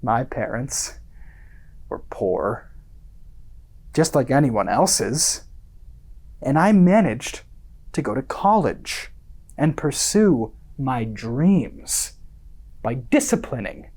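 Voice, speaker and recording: An actor playing a smug, self-satisfied content creator in a radio monologue, condescending and theatrical, with deliberate pauses. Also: mid-range voice, very animated, darkish tone. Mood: self-satisfied, preachy.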